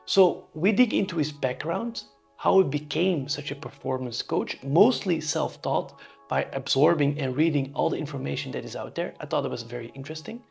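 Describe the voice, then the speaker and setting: Male podcast host, fairly high voice, heavy gravel, husky, faint music behind. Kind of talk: casual podcast interview and intro.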